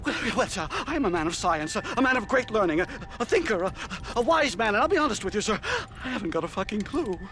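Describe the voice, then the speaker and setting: An actor playing a nervous, pompous, cowardly professor in a video game, stammering and wordy. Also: male voice, sits high, sing-song, quick pace, hardly any pauses, fairly bright tone. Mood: flustered, pompous. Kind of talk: video game cutscene acting.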